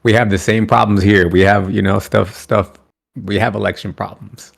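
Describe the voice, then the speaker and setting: A man chatting on a podcast, arguing his point with lively acted-out quotes. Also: fairly low pitch, normal speed, creaky, husky. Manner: animated, argues with acted quotes. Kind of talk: casual podcast chat.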